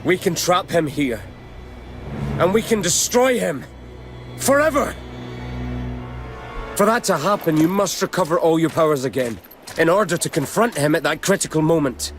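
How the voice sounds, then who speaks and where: An actor playing a solemn, resolute warrior son in a dark fantasy game, speaking with measured, earnest, portentous delivery. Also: male voice, on the high side, animated, natural voice. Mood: resolute, persuasive. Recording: music under the voice.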